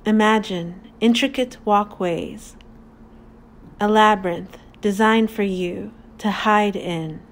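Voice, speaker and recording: A woman narrating a guided meditation in a calm, deliberate, soothing manner with long pauses. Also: mid-range voice.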